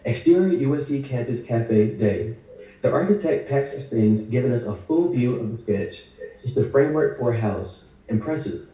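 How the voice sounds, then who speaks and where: A man's voice: mid-range voice, expressive, moderate pace, warm tone. A man reading screenplay action lines as the narrator of a table read. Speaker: script narrator. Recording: very echoey room, distant mic.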